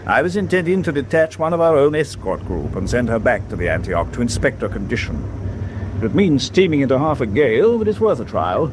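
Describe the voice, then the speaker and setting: A man playing a grave, resolute British senior naval officer in a wartime radio drama, speaking with deliberate, understated formality. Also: mid-range voice, lightly acted. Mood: thoughtful, resolute. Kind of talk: WWII radio drama acting. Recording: steady ship ambience noise behind.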